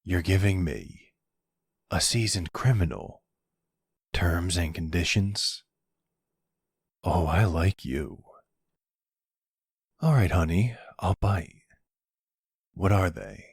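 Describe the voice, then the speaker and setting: A man playing a charming, smooth-talking mafia boss in an ASMR roleplay, wry and flirtatious. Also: deep voice, expressive, slow, long pauses, no room sound, clean studio sound, heavily acted. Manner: flirtatious, wry, exasperated with his cousin. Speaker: voice actor.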